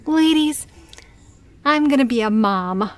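An earnest character nearing forty in an audio drama. Her voice is high, and she takes her words slowly. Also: expressive. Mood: excited, coy. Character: earnest woman nearing forty, wanting motherhood.

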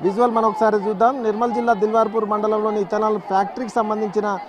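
A man's voice: high-pitched, flat delivery, fast, crowd noise, background noise. A man reporting live outdoors from a road protest, speaking into a handheld mic with frequent hesitation sounds. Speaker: field reporter.

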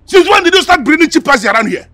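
An actor playing a pompous, hot-tempered man called Chief, who blusters and repeats himself while shouting. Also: very high voice, very animated, rapid-fire, dry recording, exaggerated voice. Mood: furious. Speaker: angry customer called Chief.

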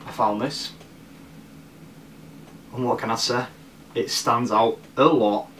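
A teenage man with a Leeds accent gives an informal review to camera at an unhurried, slow pace. His delivery is halting, with repeated words. He sounds distant from the mic in an echoey room. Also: mid-range voice, a bit bright, slight background noise. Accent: Leeds, Northern English. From Leeds, northern England. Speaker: fashion vlogger. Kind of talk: casual product review to camera. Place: small bare room indoors.

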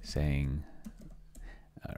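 A man's voice: extremely slow, noisy background. A middle-aged man giving casual think-aloud commentary on a screen share, rambling and muttering to himself as he reads and types. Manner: rambling, muttering to himself while reading and typing. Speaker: host, solo roleplayer testing an AI dungeon master. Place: indoor home room with shelves.

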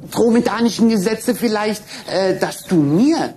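A man's voice: high-pitched, moderate pace, darkish tone, slight background noise, lightly acted. An actor voicing a flustered, stammering male puppet in a children's puppet show audio play. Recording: echoey studio.

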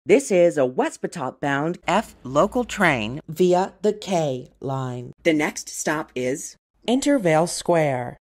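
A woman's voice: sits low, lively intonation, slow pace, a little mellow. A woman giving scripted, formal train announcements in segmented phrases, like an automated transit system.

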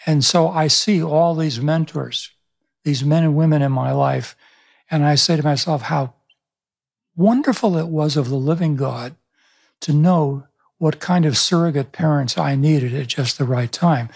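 Older guy, bit husky and a touch high, reflective pastor telling stories into a studio mic. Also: a little slow, darkish tone. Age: 70s. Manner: thoughtful storytelling with frequent restarts. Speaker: pastor and author, guest. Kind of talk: reflective interview conversation. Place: small room, indoors. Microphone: studio microphone on boom arm.

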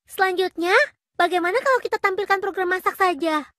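A woman's voice: very high-pitched, fairly even intonation, a little fast, a bit bright, no echo, studio quality. A woman voicing a small female cartoon robot cat, a helpful and sensible little sister explaining things. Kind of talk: animated cartoon dub acting. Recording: music behind the voice.

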